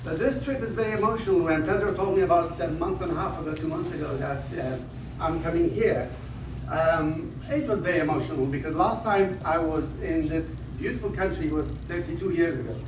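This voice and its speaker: A man's voice: a little high, fairly quick. A man in his fifties from northern Iraq, giving a conference talk with rambling personal stories, self-deprecating jokes and frequent restarts. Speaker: invited conference speaker. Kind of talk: lecture opening with personal anecdotes. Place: lecture hall. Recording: echoey hall, distant mic, background noise.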